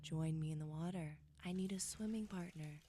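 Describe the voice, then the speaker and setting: A woman's voice: sits low, moderate pace, heavy background noise, close to an everyday voice. Woman acting as an eerie, whispering water siren. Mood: eerie, coaxing. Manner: breathy, coaxing half-whisper. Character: luring, eerie female water creature whispering from a pool. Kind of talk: audio horror drama acting.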